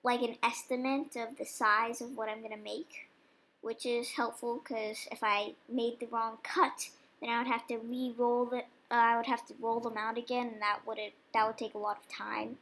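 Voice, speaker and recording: A woman's voice: a little high, normal speed. A young woman casually explaining step by step how she makes dog treats. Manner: chatty, relaxed, explains each step. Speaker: vlogger baking dog treats. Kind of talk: casual cooking demonstration voice-over.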